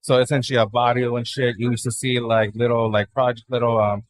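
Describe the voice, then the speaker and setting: Fast-talking young comedian from Dallas. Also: male voice, mid-range voice, flat delivery, rough recording. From Dallas, Texas. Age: 20s. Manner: rapid, filler-heavy, profane. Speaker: guest comedian. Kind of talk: casual interview chat.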